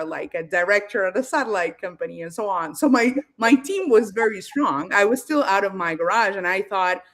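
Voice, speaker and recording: A woman from Argentina with an Argentine accent, a guest telling her career story in a casual interview, lively and laughing between phrases. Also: medium pitch. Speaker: guest, space company founder.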